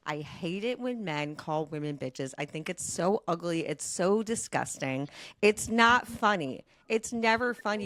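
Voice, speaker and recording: A woman's voice: lowish voice, no echo. A woman co-hosting a podcast, giving an indignant, emphatic rant with free swearing. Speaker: podcast co-host. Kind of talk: opinionated casual podcast rant.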